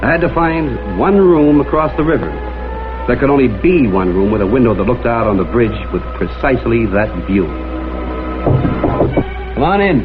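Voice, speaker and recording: A man plays a stubborn, wry American detective in a vintage noir drama. He is terse and hard-boiled, with a medium-pitched voice. The recording is thin and tinny, with music clearly behind him. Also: animated, normal speed, close to an everyday voice. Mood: probing. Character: tough, dogged male photographer detective, wry and stubborn. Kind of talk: vintage noir crime drama, dialogue and narration.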